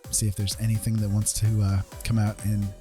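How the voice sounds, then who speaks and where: A man's voice: on the low side, monotone, fairly quick, dark tone. A man chatting casually and laid-back on a livestream, thinking aloud as he paints. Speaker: streamer, digital painter. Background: piano music.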